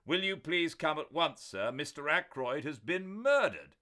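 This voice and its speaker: A man's voice: a little high, very animated, measured pace, pushed and bright, voice pushed up, exaggerated voice. A man voicing an agitated middle-aged doctor who demands answers in a raised, urgent voice.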